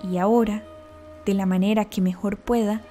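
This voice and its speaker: A woman narrates a guided meditation. Her timbre is dark and relaxed, at a middling pitch for a woman. She gives scripted instructions in a calm, deliberate way, with faint music behind her.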